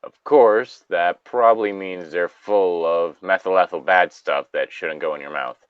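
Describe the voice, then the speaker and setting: A man's voice: sits low, a little slow, clear, resonant tone, dry recording, highs cut off. A man casually reading a story aloud as host, breaking off for joking, sweary asides.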